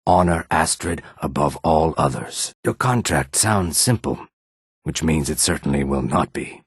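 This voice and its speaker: A man voicing a reptilian Argonian assassin and outlaw in a video game, with a rasping, husky voice. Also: a bass voice, expressive, unhurried, no room sound, studio quality, exaggerated voice. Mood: calm, cautionary. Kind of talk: video game character dialogue.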